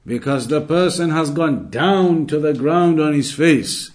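A man with a slightly high voice delivers an Islamic lecture as a teacher would. He explains deliberately and didactically, circling back to key phrases to stress them. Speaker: Islamic teacher giving a religious lesson. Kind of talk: religious lecture, explaining Arabic texts in English.

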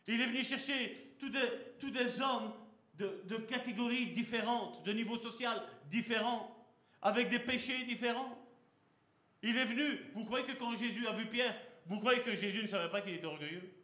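Impassioned high-voiced male pastor. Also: fairly bright tone. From Belgium. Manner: impassioned, rhetorical questions to the congregation. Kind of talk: sermon. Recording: echoey hall.

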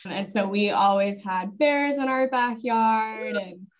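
A woman's voice: fairly high pitch, takes it slowly. A woman with an American accent co-hosting a podcast in a friendly conversational style. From Rocky Mountain foothills. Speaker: podcast co-host, admissions advisor.

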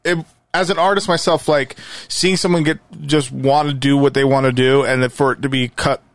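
A man's voice: mid-range voice, fairly even intonation, normal speed, a bit bright, no echo. A man hosting a podcast in a casual chat, swearing often and rambling with fillers and restarts. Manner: loose and profane, rambling with fillers. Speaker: podcast host; musician.

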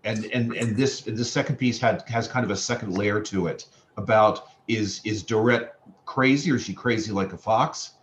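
A man's voice: on the low side, moderate pace, few pauses. A man speaking at a play reading, giving stage directions and discussing the play. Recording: dull, lo-fi sound, faint noise.

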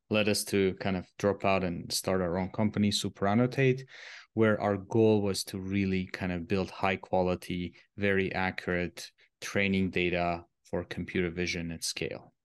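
A very low, smooth and resonant male voice. He speaks in a measured, explanatory way, pausing to search for words now and then. The sound is dry and close. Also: no echo. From Armenia. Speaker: guest, company co-founder. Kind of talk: podcast interview answers.

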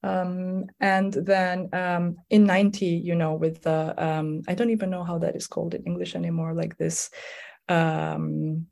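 A woman in her forties whose first language is Albanian, speaking English as a playwright guest in an unhurried, hesitant way, with many fillers and restarts. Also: fairly low pitch, little pitch movement, vocal fry, darkish tone. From Kosovo. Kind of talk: conversational interview.

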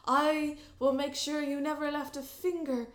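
A woman's voice: high-pitched, flat delivery, unhurried, echoey room. A woman voicing a lovestruck young Italian man, a street musician, with theatrical, exaggerated declarations. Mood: indignant.

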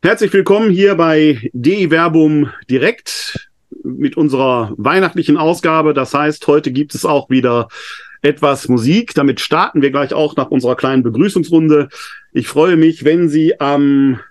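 A man's voice: a little high, normal speed. A man with a slight cold hosting a live webinar discussion, chatty and full of hesitations. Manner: chatty, many filler hesitations. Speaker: host, New Testament scholar.

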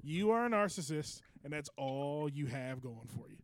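A man's voice: a little high, lively intonation, normal speed, slight background noise. A man co-hosting a studio comedy podcast, teasing and laughing often in casual banter. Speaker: podcast co-host.

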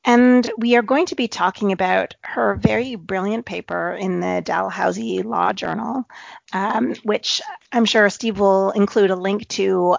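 A woman co-hosting a podcast with a froggy voice, introducing a guest in a halting, casual way. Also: medium pitch, gravelly, no room sound, lo-fi sound. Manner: halting, many fillers. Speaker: podcast co-host.

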